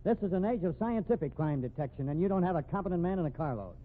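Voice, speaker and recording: An actor with an American accent playing a cocky, needling young newspaper reporter, brash and taunting, in a 1950s radio drama. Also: male voice, high voice, animated, phone-quality sound. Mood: boastful. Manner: brash, taunting, quick-witted. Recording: thin, noisy 1950s radio recording.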